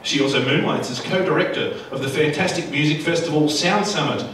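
High-voiced Australian man in an echoey hall. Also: brisk, a bit bright. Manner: jokey, informal. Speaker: panel moderator. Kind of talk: prepared introductions to a live audience. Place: indoor event room with large windows. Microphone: handheld microphone through a PA. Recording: very echoey room, distant, clear background noise.

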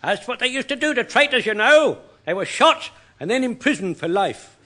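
High-pitched, blustering old British soldier. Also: male voice, moderate pace, exaggerated voice. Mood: suspicious, gruff. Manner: blustering, matey, excitable. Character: belligerent, confused old British wartime soldier on lookout. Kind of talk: radio comedy acting. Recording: faint noise on old radio recording.

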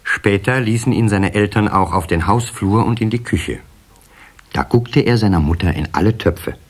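Ein Mann erzählt als Sprecher eines Kinderhörspiels eine vorbereitete Geschichte, mit rauer Stimme. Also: male voice, lowish voice, normal speed, husky. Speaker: narrator. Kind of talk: scripted children's storytelling.

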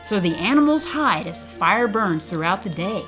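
Lively woman narrating for kids. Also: mid-range voice, normal speed, poor audio. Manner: upbeat, explaining simply. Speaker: narrator, prescribed burner. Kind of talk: scripted narration for children. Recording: voice-over over music. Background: background music.

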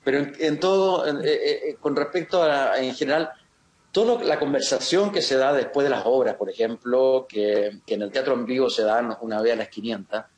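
A man in his sixties, talking casually in an interview over a video call, halting and often restarting his sentences. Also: medium pitch, darkish tone. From Chile. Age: 60s. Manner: halting, many restarts and fillers. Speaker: actor, interview guest. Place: home room, video call. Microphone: laptop camera mic on video call. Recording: roomy video call audio.

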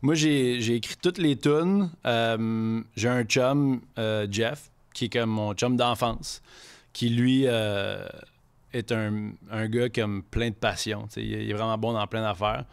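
Animated Québécois man mixing in English. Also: lowish voice, unhurried, gravelly, clear, resonant tone. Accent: Québécois French. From Quebec. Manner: animated, heavy franglais, gestures while talking. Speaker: guest chef. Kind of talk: casual podcast chat. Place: podcast studio with stone wall. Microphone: desk mic on boom arm.

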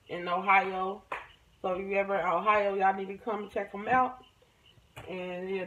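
Casting: American woman, everyday vlogger, folksy informal chat, medium pitch, clearly noisy handheld recording. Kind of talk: casual chat to camera, showing purchases. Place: room at home, indoors. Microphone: phone or camera at arm's length.

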